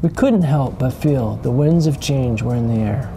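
A middle-aged man giving calm, scripted voice-over narration for a sailing travel vlog, explaining things with dry humor. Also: mid-range voice, normal speed, vocal fry, over music.

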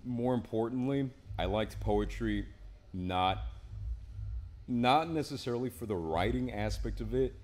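A man in his twenties with a deep voice, telling his story in a relaxed, rambling way, often tossing in "man" and "right?". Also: measured pace. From Miami, Florida. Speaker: poet, interview guest. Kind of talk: casual interview. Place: small indoor studio with a painted mural wall.

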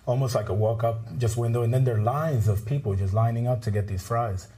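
A middle-aged man from the Bronx, telling stories as a guest in a TV studio interview, relaxed and laughing often. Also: mid-range voice, fast talker. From the Bronx, New York. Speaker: restaurant owner, guest. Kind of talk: casual interview chat.

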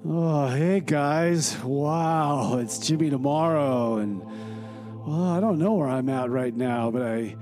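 A man playing a dreamy, bemused time traveler in a comedy radio skit, rambling along in a blissed-out way. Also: a little high. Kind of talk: comedy radio theater monologue. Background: soft new-age music.

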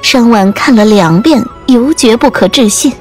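A woman's voice: on the high side, lively intonation, normal speed, a little mellow. A woman reading scripted audiobook narration as the storyteller. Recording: music under the voice.

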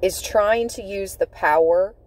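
Slow, mellow woman reading tarot. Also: medium pitch. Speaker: tarot reader. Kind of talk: intuitive tarot reading to camera. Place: inside a parked car.

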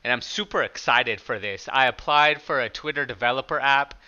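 A man with a loud, very bright and smooth voice talks plainly through his code, like a hobbyist showing his own project. The sound is a little dull, as from a modest home setup. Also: medium pitch, brisk. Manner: loud speaking voice; plain, conversational explaining with small restarts. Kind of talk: casual screen-recorded tutorial.

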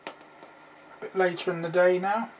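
A man's voice: high-pitched, steady tone, moderate pace. A man giving a casual spoken demonstration, thinking aloud in an informal, hesitant way. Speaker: presenter demonstrating a homemade valve radio. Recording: distant mic with background hiss and noise.

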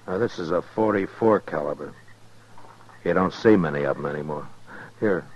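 Very deep-voiced frontier marshal. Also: male voice, normal speed. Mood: determined. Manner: dry, matter-of-fact. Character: steady, determined frontier marshal, dry and self-assured. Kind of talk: 1950s radio western acting. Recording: muffled, noisy old radio recording.

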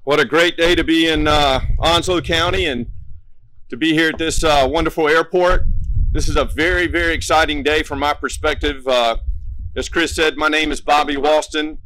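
A middle-aged man giving a halting ceremony speech at a podium as a government director. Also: a little high, fairly even intonation, sharp tone, poor audio. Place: outdoor podium by a brick building. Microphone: podium microphone.

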